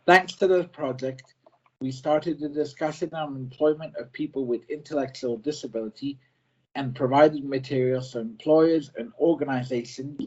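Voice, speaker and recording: A man, a self-advocate working as an easy-to-read editor, giving a prepared presentation in short simple sentences, slow and careful, with some restarts. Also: medium pitch, a little mellow, highs cut off. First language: not French; French is a second language.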